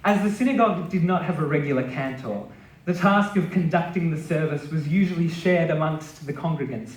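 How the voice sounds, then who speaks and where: Male reader, higher voice, measured dramatic delivery of memoir narration, recorded live in a roomy space. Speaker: reader at a literary stage event. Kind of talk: dramatic reading of a scripted memoir. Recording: roomy, echoing live venue.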